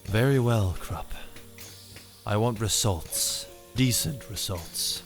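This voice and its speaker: Male actor for a cold villain lord, menacing and deliberate, lowish voice, slow pace, dub drama. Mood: threatening. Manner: slow, deliberate, threatening delivery. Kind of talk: dramatic fan dub acting. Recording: music behind. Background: background music.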